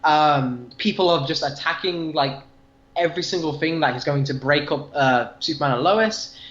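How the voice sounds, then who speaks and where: A man with a fairly high voice talks casually as a podcast co-host. His delivery is earnest and rambling, and the recording is roomy and a little dull. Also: moderate pace, fairly bright tone. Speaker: comic book review podcast co-host. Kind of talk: casual fan discussion.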